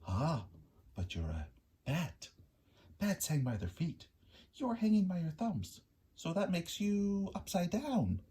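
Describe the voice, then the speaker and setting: Smug curious bat, a man putting it on, storybook, lively pitch swings. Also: slow, exaggerated voice. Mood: teasing. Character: curious, matter-of-fact grown bat.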